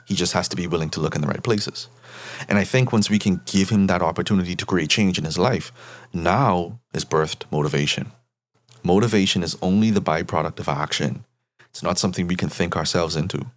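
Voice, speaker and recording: Deep-voiced man, calm therapist. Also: dry recording. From Bahamas. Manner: measured, tags points with "right?". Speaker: therapist guest. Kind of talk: interview guest explaining.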